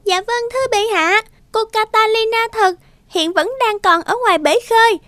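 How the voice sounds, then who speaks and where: A woman's voice: very high voice, moderate pace, a bit bright, no room sound. A woman voicing a small, loyal talking dove messenger in a cartoon fairy tale, earnest and respectful.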